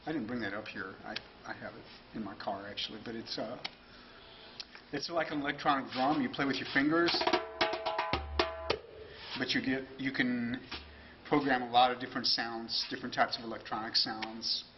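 A man in his fifties, a percussionist, calmly explaining his drums in a large rehearsal room, his voice trailing off softly, picked up by a camera mic. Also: mid-range voice, normal speed, pauses often. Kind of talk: casual explanation of his instruments. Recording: dull lo-fi sound with noise.

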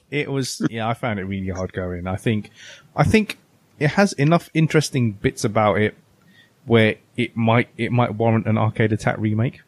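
A man's voice: fairly low pitch, moderate pace. A man co-hosting a podcast in casual, conversational discussion. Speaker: podcast co-host.

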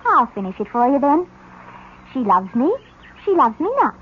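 A woman's voice: high voice, very animated, normal speed. An actress playing a brazen, teasing sixteen-year-old psychic orphan girl in a 1970s radio drama. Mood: sly, taunting. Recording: noisy, dull vintage radio broadcast.

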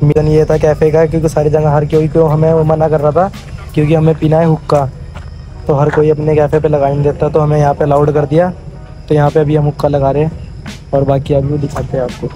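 A man's voice: fairly high pitch, monotone, brisk, warm tone, soft background music, low-quality recording. A North Indian man chatting casually as he hosts a travel vlog. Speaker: vlogger hosting an outing with friends.

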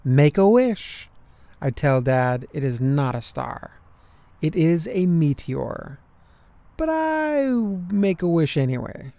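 Slow, higher-voiced man reading a storybook. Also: very animated, vocal fry, dark tone, no room sound. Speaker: storybook narrator. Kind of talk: children's picture book read-aloud.

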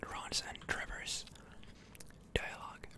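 Slow, whispering ASMR gamer. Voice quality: breathy unvoiced whisper. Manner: soothing, drawn-out delivery with repeated words. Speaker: video game streamer and channel host. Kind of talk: whispered ASMR game commentary.

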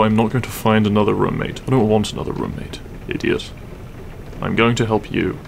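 A man playing a grumpy but secretly caring half-dragon roommate in an audio roleplay, gruff, weary and blunt. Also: fairly low pitch, a little hiss or hum. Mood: gruffly concerned. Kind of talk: audio roleplay acting, spoken to the listener.

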